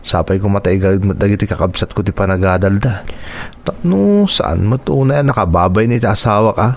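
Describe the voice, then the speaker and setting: An actor with an Ilocano accent playing an earnest, burdened married son in a radio drama, with noise behind him. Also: male voice, lowish voice. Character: dutiful, burdened married son supporting his poor family. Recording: clear background noise.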